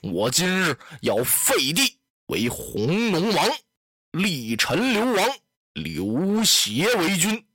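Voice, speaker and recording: A storyteller voicing a menacing, tyrannical middle-aged male chancellor who speaks slowly and low in a commanding way. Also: huge pitch swings, fairly bright tone, slightly rough, dry recording, clean studio sound, full character voice.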